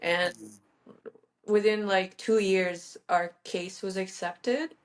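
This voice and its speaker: A woman's voice: mid-range voice, fairly even intonation, takes it slowly. A woman in her thirties from Iran, a guest in a casual interview, talking chattily with frequent fillers and self-corrections. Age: 30s.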